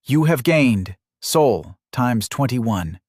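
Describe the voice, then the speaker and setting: A man reading a measured game system voice. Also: mid-range voice, expressive, darkish tone, no echo, clean studio sound. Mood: announcing. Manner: measured, announcing. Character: flat game system voice announcing gains.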